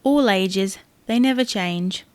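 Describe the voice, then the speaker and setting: A woman's voice: medium pitch, slow pace, no room sound, lightly acted. Woman acting a fussy, exasperated wife. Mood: insistent. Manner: bickering, insistent, theatrically indignant. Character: fussy, exasperated wife fretting over wedding clothes. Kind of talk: domestic audio sitcom acting.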